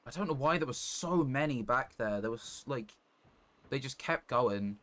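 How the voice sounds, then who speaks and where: A man's voice: mid-range voice, lively intonation, normal speed, fairly bright tone. A man doing casual live gameplay commentary as a streamer, thinking aloud as he plays and sometimes swearing. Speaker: game streamer. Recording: clear background noise.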